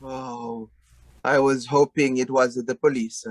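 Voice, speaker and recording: A man's voice: mid-range voice, little pitch movement, slow pace. A man playing an exasperated, grumbling householder with frayed nerves in a radio play, agitated and indignant. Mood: weary grumbling.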